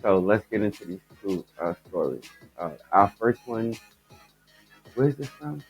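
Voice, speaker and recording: A man hosting his own podcast, his voice raspy and stuffed up, chatting loosely and rambling as he talks straight to his listeners about the news. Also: fairly low pitch, expressive, measured pace, soft background music, rough recording. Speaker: podcast host. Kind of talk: casual commentary on news stories.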